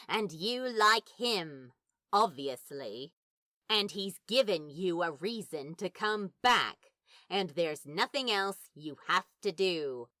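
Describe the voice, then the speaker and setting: A woman plays a sardonic, foul-mouthed modern friend in an audio drama, blunt but grudgingly fond. The delivery is a slow, sarcastic drawl that swings up and down with emphasis. The voice is sharp and closely, dryly recorded. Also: mid-range voice, dry recording, studio quality, exaggerated voice. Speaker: character voice actor. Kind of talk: fan fiction audio drama dialogue.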